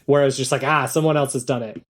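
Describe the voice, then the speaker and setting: A man's voice: mid-range voice, fairly even intonation, quick pace. Man chatting earnestly as interview guest. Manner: earnest fan talk, frequent quoted asides. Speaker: interview guest, audio drama creator. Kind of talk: casual interview chat.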